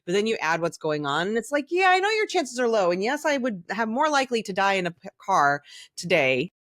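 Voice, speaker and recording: A woman's voice: medium pitch, very animated, brisk, fairly bright tone, dry recording, studio quality. A woman co-hosting a podcast in casual, candid chat, opinionated and blunt with some mild swearing. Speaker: podcast co-host.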